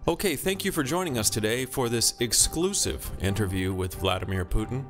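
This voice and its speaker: A man's voice: mid-range voice, animated, a little fast, music in the background. A man hosting a mock news interview, formal, polite and persistent in his questioning. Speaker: host and interviewer.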